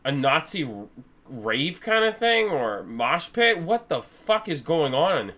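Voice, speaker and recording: A man's voice: fairly high pitch, very animated, slow, sharp tone, faint music behind. A young man hosting a movie reaction with his brother, blunt and swearing, in a dim room at home. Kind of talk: casual reaction chat. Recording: movie audio playing behind.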